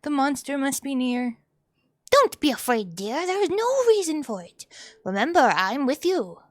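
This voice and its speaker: Woman voicing a high, swooping, coaxing teddy bear. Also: sing-song, no room sound. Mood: soothing. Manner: coaxing, drawn-out, theatrical reassurance. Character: eager, coaxing teddy bear companion, endlessly reassuring. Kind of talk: video game character dialogue.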